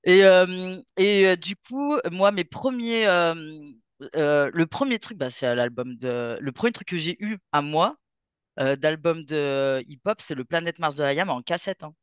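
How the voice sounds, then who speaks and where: A woman's voice: deep voice, measured pace, clear, resonant tone, no echo. A woman from France with a French accent, telling her story informally as an interview guest, thinking aloud as she goes. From France, near Saint-Étienne. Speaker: festival founder, interview guest. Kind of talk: casual chat, personal storytelling.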